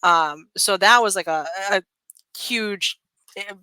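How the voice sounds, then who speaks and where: A woman's voice: mid-range voice, takes it slowly, bright, forceful tone, clean tone, no room sound. A woman being interviewed as a sales manager, talking in a chatty professional way and often saying "like" and "kind of".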